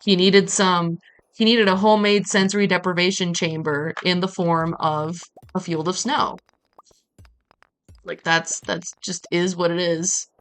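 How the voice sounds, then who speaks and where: A woman's voice: fairly low pitch, unhurried. Chatty woman streaming a game. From Brazil. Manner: animated storytelling with acted-out quotes and playful asides. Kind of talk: casual chat while playing a game on a livestream.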